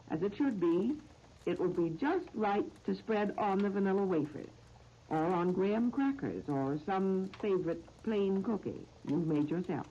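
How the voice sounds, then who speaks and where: An American woman hosting a 1930s radio cooking show, reading scripted recipes with deliberate, careful enunciation on an old broadcast recording. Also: mid-range voice, warm tone, dull audio, a little hiss or hum.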